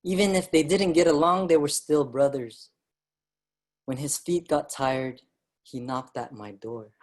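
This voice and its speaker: A man's voice: a little high, unhurried. An actor playing an earnest immigrant writer, a man in a dramatic staged reading. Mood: reflective. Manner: reflective storytelling, pleading when alarmed. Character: Allos, earnest, ailing Filipino immigrant writer, younger brother. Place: online virtual staged reading.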